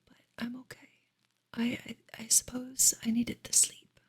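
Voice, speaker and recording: A woman ASMR streamer whispering softly and breathily as she chats to her viewers in a gentle, halting, unhurried way. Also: on the high side, flat delivery, breathy edge. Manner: soft, unhurried, gentle, halting with long pauses. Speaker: ASMR livestream host.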